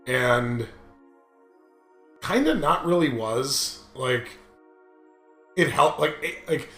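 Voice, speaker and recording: A man's voice: medium pitch, slow, creaky, fairly bright tone. Young American male host, informal and joking, loose anecdotal chat, recorded in an echoey room. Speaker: host of a gaming video series. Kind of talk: casual chat, rambling anecdote. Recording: roomy echo, music underneath.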